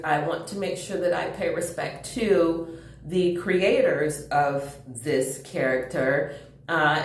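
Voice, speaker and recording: A woman hosting a children's storytime, reading aloud and chatting with young listeners in an enthusiastic, question-filled way. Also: fairly low pitch. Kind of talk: animated children's read-aloud with chatty asides. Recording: roomy, echoing sound.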